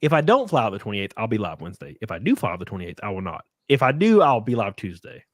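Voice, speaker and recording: Lowish voiced guy streaming, sniffly n tipsy, swears a lot, super dry close mic. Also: quick pace, no room sound. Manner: rambling, profane, excitable when thanking donors. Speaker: streamer host. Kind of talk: casual livestream chat, reading donations.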